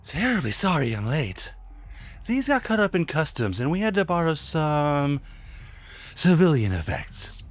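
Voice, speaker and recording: An actor playing a flustered, apologetic fight promoter in a radio drama, with a polite, fussy manner. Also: male voice, on the high side, sing-song, a little slow.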